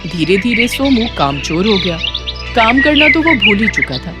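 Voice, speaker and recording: A woman's voice: medium pitch, very animated, moderate pace. A woman narrating a scripted children's moral story. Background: music.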